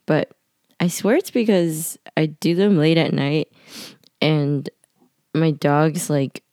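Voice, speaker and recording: A woman's voice: deep voice, fairly even intonation, slow, dark tone, no room sound, studio quality. A young woman recording a casual voice diary at home late at night, rambling with lots of fillers, her voice a little nasal. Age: young adult. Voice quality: slightly nasal. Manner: rambling, many "like" fillers, scatterbrained. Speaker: podcast diarist.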